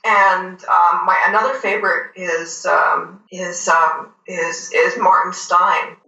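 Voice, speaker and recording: A woman in casual TV review chat, speaking deliberately and emphatically, repeating phrases for effect. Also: lowish voice, little pitch movement, pushed and bright. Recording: echoey, roomy sound.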